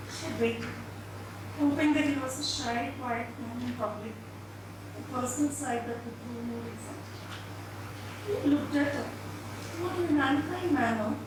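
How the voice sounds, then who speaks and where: A woman gives a dramatised reading of literary narration, phrasing it deliberately and expressively. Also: high-pitched. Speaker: reader. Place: live reading at an indoor event venue. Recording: echoey hall, far mic, noisy.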